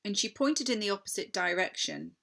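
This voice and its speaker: A woman reading aloud a children's story as an expressive narrator. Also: mid-range voice, a little fast. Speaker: story reader.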